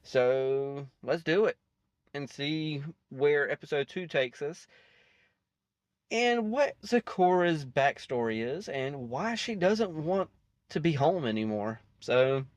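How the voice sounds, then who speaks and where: A man hosting a reaction video with casual, rambling commentary, haltingly thinking aloud. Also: on the high side, animated, no room sound.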